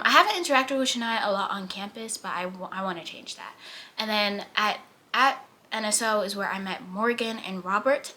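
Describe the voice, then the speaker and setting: An eighteen-year-old woman chatting casually as a vlogger, full of "like" fillers and drifting between lively and hushed. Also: medium pitch, slight background noise. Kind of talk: casual chat.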